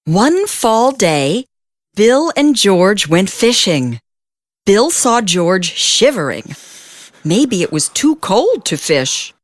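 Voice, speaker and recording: A woman reading a children's storybook aloud as an expressive narrator, with deliberate phrasing and drawn-out emphasis. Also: medium pitch, no echo, clean studio sound.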